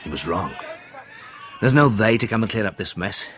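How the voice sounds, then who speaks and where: An actor playing a cautious, pragmatic survivor of a catastrophe in a radio drama, speaking firmly and matter-of-factly. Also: male voice, fairly low pitch, lively intonation, normal speed, a bit bright. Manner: matter-of-fact, firm. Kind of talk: radio drama acting and narration.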